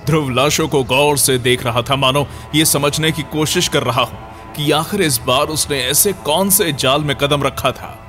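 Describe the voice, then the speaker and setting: A man's voice: fairly high pitch, normal speed, fairly bright tone. A man narrating a scripted horror audio story in a dramatic storyteller's manner. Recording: music under the voice. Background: background music.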